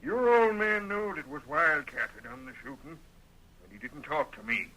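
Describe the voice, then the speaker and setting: On a tinny old radio recording, a crusty old Western patriarch. His voice is very bright and forceful, set fairly high, with a folksy American Western drawl. He sounds shrewd, bossy and cantankerous. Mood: grim recollection. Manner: folksy, cantankerous, deliberate storytelling.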